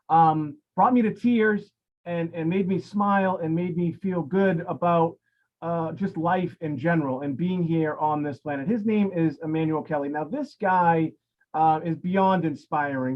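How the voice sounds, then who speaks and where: Rambling enthusiastic male host, lo-fi sound. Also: sits high. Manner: enthusiastic and rambling, many fillers and restarts. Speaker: podcast host. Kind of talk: casual live interview.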